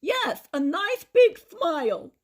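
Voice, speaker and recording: A woman voicing an upset, fussy old grandfather without his teeth in a children's storybook, with drawn-out, funny speech. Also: very high voice, big pitch swings, pitched above the performer's own voice, full character voice. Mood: suspicious. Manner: drawn-out, mumbling, toothless-sounding speech. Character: distraught old grandfather who lost his false teeth.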